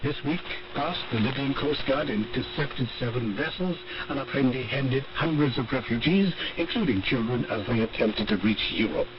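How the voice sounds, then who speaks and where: A man reading a scripted international news bulletin in a formal, measured way, heard over shortwave radio. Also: mid-range voice, lo-fi sound, crowd noise. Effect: shortwave radio reception. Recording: noisy, band-limited shortwave radio broadcast. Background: radio static and noise.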